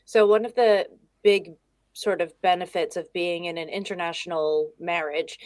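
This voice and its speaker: A woman's voice: lowish voice, fairly even intonation, normal speed, darkish tone, no room sound. A woman speaking as a guest expert on a video call, casual, candid and chatty. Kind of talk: casual conversation.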